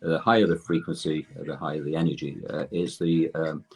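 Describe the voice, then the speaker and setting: An older man with a husky voice explains technical material as a guest expert, haltingly and often correcting himself mid-sentence. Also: a bass voice, little pitch movement, darkish tone.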